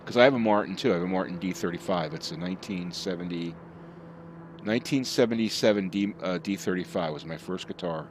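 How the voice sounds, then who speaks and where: Low-voiced middle-aged guy, rambly casual streamer chat, faint engine hum behind. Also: fairly quick. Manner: rambling, chatty with live chat.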